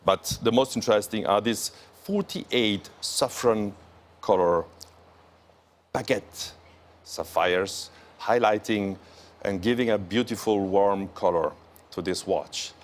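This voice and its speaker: A man presenting watches on a morning show, speaking carefully and admiringly with pauses between phrases. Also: fairly low pitch. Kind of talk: live show product walkthrough.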